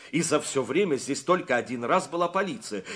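Man acting respectable sculpture workshop boss, audio drama, indignant, emphatic, repetitive phrasing, highly varied intonation. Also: animated, brisk, a bit bright, close to an everyday voice. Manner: emphatic, repeats words for emphasis. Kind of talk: Russian audio play acting.

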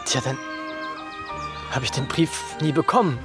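An actor playing a courteous, bookish young gentleman in a radio drama, speaking with restraint and some hesitation. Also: mid-range voice, moderate pace, natural voice. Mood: earnest, curious. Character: polite, reserved bookish young gentleman lodger. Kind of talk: German radio drama acting. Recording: clear music behind voice.

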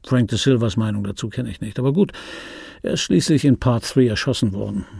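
An actor playing an embittered, wistful dubbing actor in his fifties, delivering a dry, brooding radio play monologue in a husky voice. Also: medium pitch, a little fast, darkish tone, no room sound. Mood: dry, wry. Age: 50s. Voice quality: husky, gravelly.

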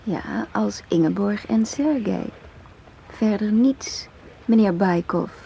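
A woman's voice: mid-range voice, takes it slowly, creaky, warm tone, muffled recording. An actress playing a cool, self-assured, seductive female agent in a radio play, knowing and challenging in her talk. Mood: coolly playful. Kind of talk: radio drama acting. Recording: hissy old radio play recording.

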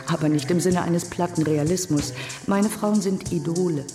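Woman acting a painter witness, independent, matter-of-fact, low-pitched, music underneath, radio drama. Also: normal speed, dark tone, close to an everyday voice. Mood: reserved, uneasy. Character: reserved, independent-minded female painter giving testimony. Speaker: actor.

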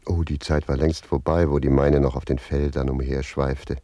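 A man's voice: a bass voice, little pitch movement, normal speed, mellow, no echo. A man playing a talkative, cheeky servant in a radio play, philosophising with sly mock deference. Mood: sly, evasive.

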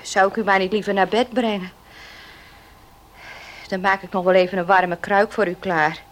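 An actress playing a dutiful, patient housemaid in a radio drama, deferential and given to muttering. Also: female voice, mid-range voice, steady tone, moderate pace, close to an everyday voice. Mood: concerned, deferential. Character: dutiful, patient female housemaid roused from sleep. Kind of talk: Dutch radio drama acting. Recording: noisy old radio play recording.

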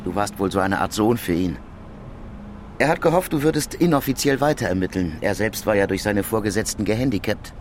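An actor playing a composed, guarded intelligence informant in a German radio drama, explaining in measured, deliberate phrases. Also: male voice, lowish voice, lively intonation, a little hiss or hum, natural voice.

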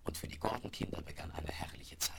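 A man narrating a 1970s German children's radio play in a measured, literary storytelling manner. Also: extremely low pitch, creaky, in a whisper. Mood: calm narration. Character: storyteller of a children's horse adventure.

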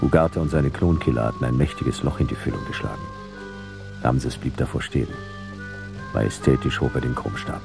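A hard-boiled private eye narrating a radio play in a very deep, gravelly voice. He is laconic and dry, speaking in clipped phrases over music. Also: a little fast. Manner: terse, dry, clipped sentences. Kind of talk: noir radio drama narration.

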